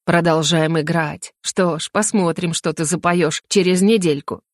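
A woman voicing a smug, sarcastic male mage official in an audiobook, with a slow, mock-courteous, sneering delivery. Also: on the low side, fairly even intonation, dry recording, studio quality, close to an everyday voice. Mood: mocking, sly. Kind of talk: audiobook character acting.

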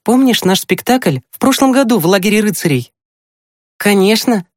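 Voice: mid-range voice, lively intonation, moderate pace, no echo, clean studio sound, voice pushed up, lightly acted. An audiobook narrator voicing an eager, boastful boy who is finishing primary school. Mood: cheerful, boastful. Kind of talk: acted audiobook dialogue.

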